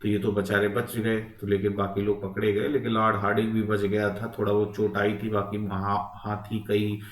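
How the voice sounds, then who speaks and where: A man's voice: fairly low pitch, little pitch movement, moderate pace. A man giving an informal exam-prep lecture, repeating key points and checking in with rising tags. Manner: instructive, repetitive, frequent rising check-in tags. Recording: echoey room, distant microphone.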